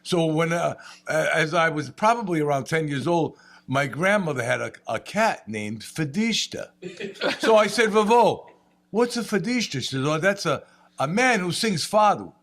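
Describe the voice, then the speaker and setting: Animated storytelling man. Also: on the high side, normal speed. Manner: animated storyteller, laughs at own jokes. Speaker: state representative. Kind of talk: panel remarks with anecdotes.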